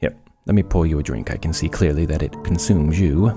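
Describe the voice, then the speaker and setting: An actor playing an aloof, obsessive scientist in a horror audio drama, speaking formally and dismissively. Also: male voice, rumbling low, fairly quick, music in the background.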